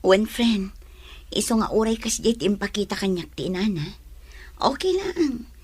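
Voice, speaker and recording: A woman plays an anxious, gentle young single mother in an audio drama. Her voice is husky and breathy, sitting at an ordinary female pitch. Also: very animated, normal speed, dry recording. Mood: hurt but resigned. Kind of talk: Ilocano audio drama acting.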